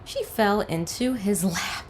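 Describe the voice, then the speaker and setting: A woman reacting to an animated series with casual, exclamatory commentary. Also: medium pitch, slow pace, faint music behind. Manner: chatty, exclamatory. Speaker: reaction video host.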